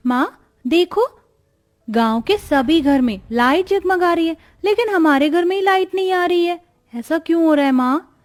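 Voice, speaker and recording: A woman as a high-voiced, caring village daughter. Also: expressive, normal speed, soft background music. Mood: concerned. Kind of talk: cartoon story voice acting.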